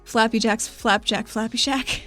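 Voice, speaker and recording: Bubbly, higher-voiced woman role-playing. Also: fairly even intonation, measured pace, bright, forceful tone, soft background music. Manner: bubbly, playful, enthusiastic. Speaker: tabletop game player. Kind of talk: in-character role-play.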